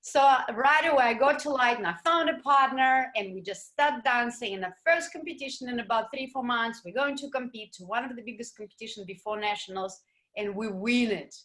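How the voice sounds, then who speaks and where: A middle-aged woman, speaking in a slightly high voice. She tells her story as an interview guest in an animated way. She acts out what others said to her. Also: a little fast. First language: Russian. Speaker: guest, ballroom dancer. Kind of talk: casual interview chat.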